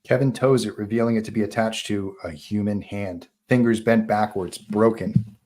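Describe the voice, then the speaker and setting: Low-voiced man narrating a screenplay. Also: steady tone, normal speed, a little mellow. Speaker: narrator reading stage directions. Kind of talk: live screenplay table read.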